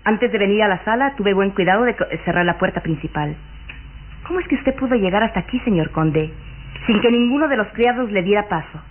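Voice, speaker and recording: A woman's voice: medium pitch, lively intonation, a little fast, a little hiss or hum, natural voice. Woman to play insistent, anxious young lady of the manor for old radio serial, tinny band. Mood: suspicious insistence. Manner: formal, emphatic questioning. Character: beautiful young duke's daughter, anxious and insistent. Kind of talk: vintage radio drama acting. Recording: phone-like vintage radio recording, music behind.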